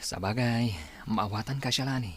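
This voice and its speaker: A man's voice: mid-range voice, normal speed, slight background noise, natural voice. A man playing a persuasive young gang member who coaxes a recruit in an Ilocano radio drama. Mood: coaxing.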